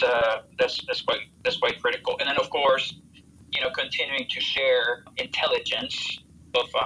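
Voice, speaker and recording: An American man giving earnest interview answers as a volunteer soldier, speaking haltingly as he searches for words. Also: medium pitch, pushed and bright, a little hiss or hum, poor audio. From United States.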